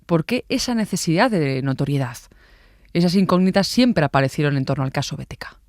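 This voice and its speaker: A man from narrating a true-crime podcast in a measured, scripted delivery. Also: a little high, animated, dry recording, studio quality.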